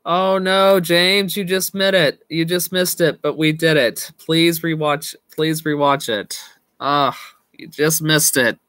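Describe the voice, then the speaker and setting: Slow-talking man on a home webcam, losing his voice. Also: a little high, steady tone, fairly bright tone, no room sound, clean studio sound. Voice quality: slow, halting, with frequent restarts and laughs. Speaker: live stream host. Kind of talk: casual live stream chat with viewers. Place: small home room indoors. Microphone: webcam at desk.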